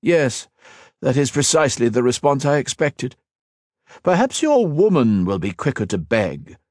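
A man's voice: medium pitch, sing-song, a little slow, darkish tone, no room sound, studio quality, voice pushed up. A male narrator voicing a smug, cruel emperor villain who mocks and taunts his captive in a grandiose way. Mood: gloating.

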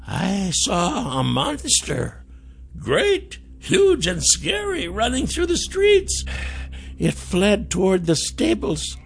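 A man voicing a frail, withered old man who is timid and crafty, with a trembling, creaky, whiny voice. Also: huge pitch swings, takes it slowly, heavily acted. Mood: pleading. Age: older. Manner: whiny, pleading, high strained voice.

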